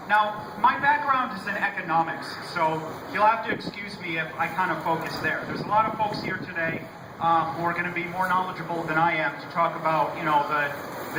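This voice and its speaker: American male politician, loud and high-voiced, speech at outdoor rally, distant mic, heavy noise. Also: reverberant. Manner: loud-voiced, conversational with hesitations at first. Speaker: state representative giving a speech. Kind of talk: public speech at an outdoor rally, from prepared remarks. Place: outdoors at a rainy-day rally. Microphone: public-address microphone, recorded from a distance.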